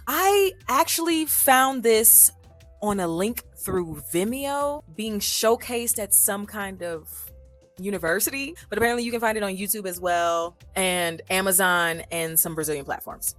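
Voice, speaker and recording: A chatty woman talks straight to camera in a casual vlog, mixing slang and playful asides. Her voice sits in a middle pitch and swings between loud, animated bursts and soft throwaway remarks. Faint music plays underneath. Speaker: vlogger reviewing films for language learners.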